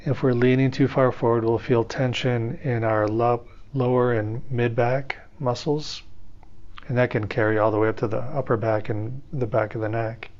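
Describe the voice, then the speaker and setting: A man teaching a guided meditation, giving step-by-step instructions in an unhurried way with pauses. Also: medium pitch, steady tone, a little mellow. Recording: slightly dull, band-limited audio.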